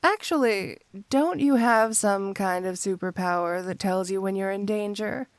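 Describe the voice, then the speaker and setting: A woman's voice: mid-range voice, no room sound, lightly acted. A woman playing a flirty, teasing supervillain cat burglar in an intimate ASMR roleplay, speaking coyly to the listener. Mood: teasing, affectionate. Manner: coy, teasing, intimate, unhurried.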